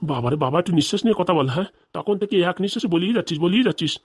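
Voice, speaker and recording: A woman's voice: lowish voice, expressive, racing, slightly rough. A woman voicing a weary, exasperated village police officer in a comic cartoon, nagging and accusing.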